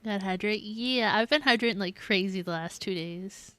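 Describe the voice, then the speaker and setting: A woman's voice: medium pitch, moderate pace, fairly bright tone, dry recording, soft background music. A woman livestreaming a game with casual reactions, in a gushing, giggly way. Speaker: game streamer.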